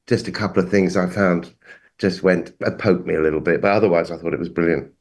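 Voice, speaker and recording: A man with a deep voice gives casual feedback as a music judge. He speaks in short, measured phrases, weighing what works against what does not. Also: fairly even intonation, darkish tone. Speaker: music judge on a review panel.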